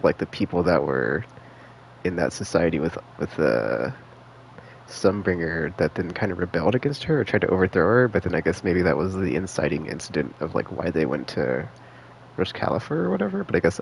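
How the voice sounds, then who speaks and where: A man's voice: low-pitched, vocal fry, slight background noise, low-quality recording. A man giving casual live game stream commentary, thinking aloud in a rambling, hesitant, slangy way.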